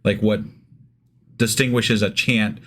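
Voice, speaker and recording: A man's voice: fairly low pitch, fairly even intonation, slow. A man co-hosting a podcast, chatting casually in a rambling, hedging, informal way. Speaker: podcast co-host. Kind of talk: casual chat.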